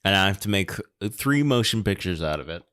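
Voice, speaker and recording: A man in a casual podcast discussion, talking in a thoughtful, discursive way with halting phrasing. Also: deep voice, animated, bright, forceful tone, clean tone. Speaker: podcast co-commentator.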